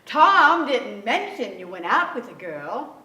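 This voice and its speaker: High-pitched, anxious, genteel mother. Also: big pitch swings, slow, sharp tone, exaggerated voice. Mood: pointed disapproval. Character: anxious, genteel, disapproving mother of grown children. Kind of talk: staged reading of a stage drama. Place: stage of a large theater. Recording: echoey theater, picked up from far off.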